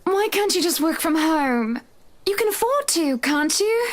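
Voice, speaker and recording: A woman's voice: very high-pitched, big pitch swings, unhurried, exaggerated voice. A woman playing a rich young wife in a visual novel game, possessive, doting and imperious. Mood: pleading, clingy. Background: faint music.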